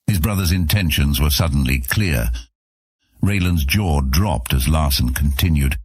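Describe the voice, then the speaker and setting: A man narrating a fantasy audio drama in a measured, even tone. His voice is very deep and husky, and the recording is dry and close. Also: no echo.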